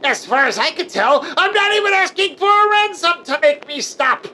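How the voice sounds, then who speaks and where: A woman's voice: very high voice, lively intonation, normal speed, bright, forceful tone, a little hiss or hum, heavily acted. A woman voicing a gleeful, unhinged female supervillain who mocks the story she is in, cackling and cursing. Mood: sarcastic. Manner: mocking, cackling, profane. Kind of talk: comedic audio drama acting.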